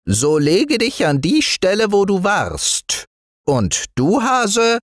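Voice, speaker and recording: Man playing a cunning fox, sly minister, high theatrical voice, slow and swooping. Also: wildly swooping pitch, no echo, clean studio sound, heavily acted. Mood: scheming. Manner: cunning and smooth, raised and theatrical.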